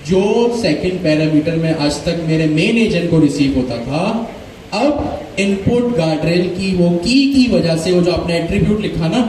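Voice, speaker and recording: A man's voice: fairly high pitch, darkish tone, slight background noise. A man teaching a programming class, asking questions and answering them himself. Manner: asks questions and answers them himself, mixing English code terms. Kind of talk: live coding class lecture. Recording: echoey room, mic far off.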